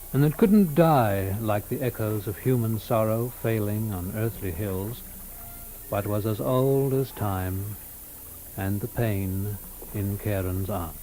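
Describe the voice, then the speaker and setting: A man giving a dramatic literary narration of a story in a solemn, measured manner. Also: lowish voice, mellow, a little hiss or hum, rough recording.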